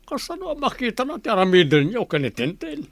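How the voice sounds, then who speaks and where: A man's voice: very high voice, very animated, brisk, gravelly, a bit bright, no room sound, exaggerated voice. A man with an Ilocano accent playing a wary, questioning village father in a radio drama.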